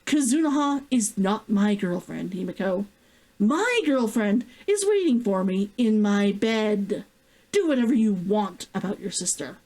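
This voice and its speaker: Woman as storybook male healer, exasperated, firm, short-tempered, highly animated delivery. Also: unhurried, low-quality recording. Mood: angry, dismissive.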